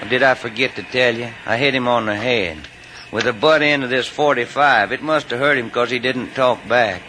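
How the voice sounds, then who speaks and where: A man's voice: mid-range voice, normal speed, bright, forceful tone, lightly acted. An actor playing a crude, bullying American henchman in a radio drama, slangy and taunting. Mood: gruff taunting. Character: crude, bullying tough-guy henchman with a gun. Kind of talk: 1950s radio drama acting. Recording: noisy vintage radio recording.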